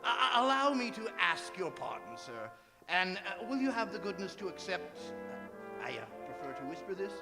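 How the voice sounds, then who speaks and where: An excitable old english-miser type turned joyful, creaky high voice, radio play in an echoey hall. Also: male voice, normal speed. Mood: eager, kindly. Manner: chuckling, stammering, excitable old gentleman. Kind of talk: theatrical radio drama acting. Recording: reverberant stage hall, faint music behind.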